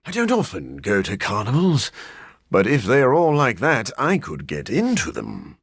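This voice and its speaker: A man voicing a brooding, dryly sardonic scientist turned vampire in a video game, speaking formally with a husky edge. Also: fairly low pitch, dry recording, heavily acted. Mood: wry, conversational. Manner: formal, learned, dry wit. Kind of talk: video game character voice acting.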